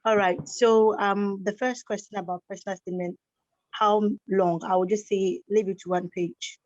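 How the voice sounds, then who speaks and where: A woman's voice: mid-range voice, fairly even intonation, normal speed, no room sound. A woman speaking as a panelist on an online call, giving direct advice and repeating her points for emphasis. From Ghana. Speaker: scholarship recipient panelist. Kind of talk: online webinar talk. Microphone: video call. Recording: dull, dry lo-fi call audio.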